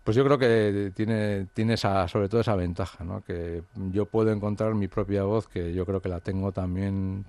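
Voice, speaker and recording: A man's voice: fairly low pitch, fairly even intonation, moderate pace, hardly any pauses, darkish tone, dry recording. A man, an author from the Basque Country, talking through his ideas in an interview, hesitant and reflective with many fillers and restarts. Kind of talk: interview conversation.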